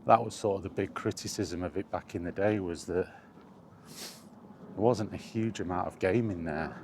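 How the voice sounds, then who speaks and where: Middle-aged guy with a low voice rambling casually about games, some background noise going on. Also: moderate pace, a little mellow. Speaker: gaming vlogger. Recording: clear background ambient noise.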